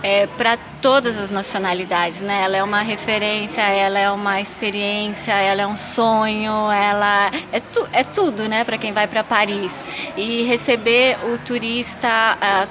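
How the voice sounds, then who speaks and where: A woman with a Brazilian accent hosting an interview, asking questions in a brisk, chatty way. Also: medium pitch, steady tone, music in the background. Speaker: podcast host. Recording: noisy background ambience.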